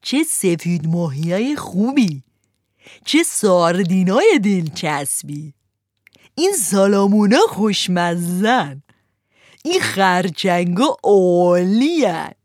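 A woman putting on a greedy ogre-like fisherman, who savors every word slowly and mockingly, his voice swinging widely up and down. It is a dry, close storybook reading. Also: mid-range voice, big pitch swings, a little mellow, no echo, close to an everyday voice. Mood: gloating. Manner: slow, relishing, mocking.

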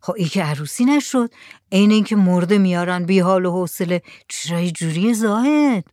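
A woman's voice: fairly low pitch, lively intonation, darkish tone, no room sound, studio quality, natural voice. A woman reading the lines of a sleepy, easygoing village drummer. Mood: drowsy. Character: drowsy poor drummer man, easygoing.

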